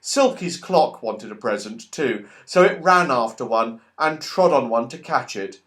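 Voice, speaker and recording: A man narrating a children's story in an expressive, playful way, exclaiming over events as an audiobook reader. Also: mid-range voice, measured pace.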